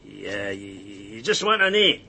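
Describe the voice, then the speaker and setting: A sly, jumpy jailbird informant from a radio crime drama. His voice is raspy and bright, swinging wildly in pitch as he stammers, repeats himself and sneers. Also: big pitch swings, unhurried, exaggerated voice, rough recording. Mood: sly, wary. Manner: agitated, stammering repetitions, sneering.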